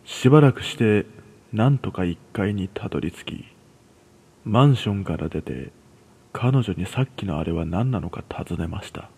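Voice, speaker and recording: A man reading a scripted first-person horror story in a measured, hushed storytelling manner. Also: on the low side, expressive, creaky, slightly rough.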